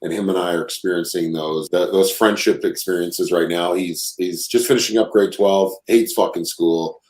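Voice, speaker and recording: A man's voice: sits low, steady tone, moderate pace, darkish tone. A man in his forties hosting a podcast, talking candidly and casually, swearing freely and laughing along. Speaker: podcast host. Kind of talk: casual conversational interview. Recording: roomy echo.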